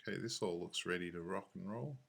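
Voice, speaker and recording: Very low-pitched, sharp-edged man, offhand tutor. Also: quick pace, gravelly. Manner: laid-back, offhand, slangy. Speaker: software tutorial instructor. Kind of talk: casual screencast walkthrough, thinking aloud.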